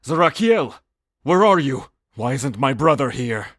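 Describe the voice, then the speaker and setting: A man's voice: mid-range voice, very animated, measured pace, a bit bright, dry recording, clean studio sound. A man playing an anguished brother calling out for his lost sibling in an audio roleplay. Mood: alarmed. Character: anguished male warrior searching for his slain brother.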